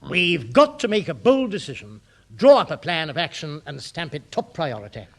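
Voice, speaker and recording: Eager, highish-voiced dim English junior clerk. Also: male voice, huge pitch swings, moderate pace, creaky, exaggerated voice. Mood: eager, bumbling. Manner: boyishly keen, stammering. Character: eager, dim, literal-minded junior civil servant. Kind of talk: British radio sitcom acting. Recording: noisy old radio comedy recording.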